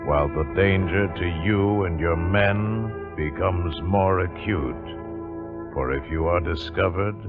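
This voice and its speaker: A deep-voiced man announces an old radio drama. His delivery is slow and ominous. Loud music plays, and the sound is thin, like a telephone. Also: phone-quality sound. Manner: ominous, measured.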